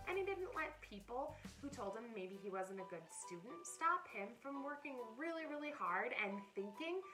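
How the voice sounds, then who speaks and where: With music playing behind her, a woman reads to children in storybook style. Her delivery is expressive and playful, and she speaks to her young listeners directly. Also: high voice, rough recording. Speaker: camp director reading a picture book to children. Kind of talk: children's storybook read-aloud. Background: background music.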